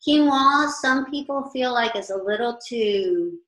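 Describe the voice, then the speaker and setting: A woman's voice: on the high side, expressive, a little slow, fairly bright tone. Chatty older woman chef, echoey kitchen. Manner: chatty, practical, standing and gesturing at boards. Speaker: chef teaching meal planning. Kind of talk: informal cooking class talk. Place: large indoor commercial kitchen. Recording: echoey kitchen room sound.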